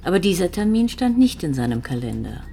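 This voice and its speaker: An actress playing a composed, probing police detective in a TV crime drama, with measured, insistent questions. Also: female voice, on the low side, big pitch swings, gravelly, mellow, slight background noise. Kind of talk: naturalistic German TV drama dialogue.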